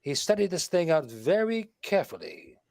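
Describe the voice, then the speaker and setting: An actor playing a shrewd, wisecracking detective who explains things with confident emphasis, in a 1940s radio mystery style. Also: male voice, sits high, big pitch swings, moderate pace, a little mellow, no room sound, studio quality. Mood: confident, explanatory. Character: shrewd, wisecracking male detective laying out a murder case.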